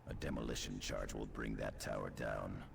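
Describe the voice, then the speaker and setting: An actor playing a grim veteran space marine scout, gravelly, terse and cold, in a sci-fi game. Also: male voice, rumbling low, steady tone, moderate pace, very noisy, exaggerated voice. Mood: grim, controlled. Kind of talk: sci-fi game unit dialogue.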